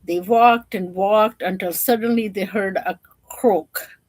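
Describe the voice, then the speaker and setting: Slow-paced woman reading children's stories. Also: medium pitch, steady tone, darkish tone, dry recording. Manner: explanatory, addressing young listeners. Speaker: storyteller. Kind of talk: children's storybook read-aloud with simple explanations.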